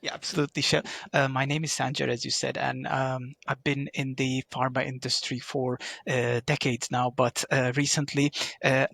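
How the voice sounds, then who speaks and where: Gravelly, husky man from Turkey. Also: mid-range voice, little pitch movement, normal speed, a bit bright, dry recording. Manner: explanatory, frequent fillers and restarts. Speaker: guest, pharma digital manager. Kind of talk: interview answers.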